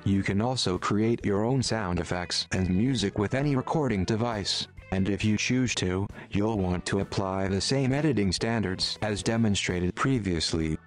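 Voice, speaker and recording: A man reading a scripted tutorial voice-over in a measured, instructional manner. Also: lowish voice, faint music behind. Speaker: tutorial narrator.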